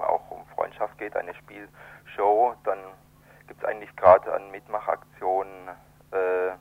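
A man being interviewed, explaining things in a halting way and searching for words, over a telephone. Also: on the low side, gravelly. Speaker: event organiser, interviewed guest. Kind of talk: spontaneous radio interview by phone. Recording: narrow tinny telephone line.

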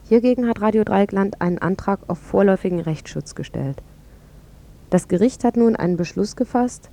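A woman's voice: mid-range voice, mellow. A woman presenting a scripted radio news report in a factual, orderly way. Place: radio studio.

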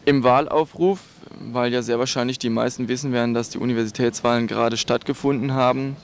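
A man's voice: mid-range voice, steady tone, a little fast, slight background noise. A man giving interview answers in formal, wordy phrasing.

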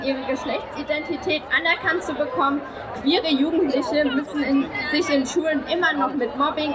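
A young woman, a youth group leader, giving a prepared political speech at an outdoor rally. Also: high voice. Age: young adult. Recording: loud crowd noise at outdoor rally.

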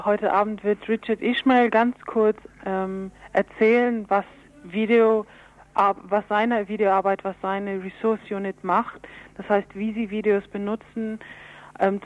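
A dull, band-limited radio recording carries a woman's medium-pitched voice. She is an interview guest from an aid organisation, giving explanatory answers. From Berlin, Germany.

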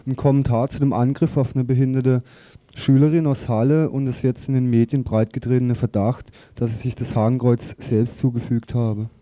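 A man's voice: medium pitch, level tone, moderate pace, no echo. A man reading scripted news reports for radio. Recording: slightly dull, lo-fi radio audio.

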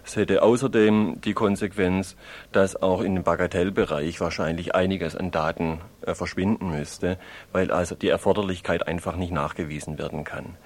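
A man with a deep, low voice. He speaks as an interviewed expert, explaining legal consequences in spontaneous spoken sentences. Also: fairly even intonation, normal speed.

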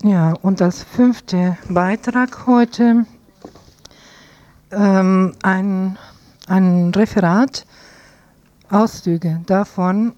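A woman radio presenter announcing programme items in a hesitant, halting way, searching for words. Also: medium pitch, mellow, dry recording, dull audio. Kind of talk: loosely scripted programme announcement.

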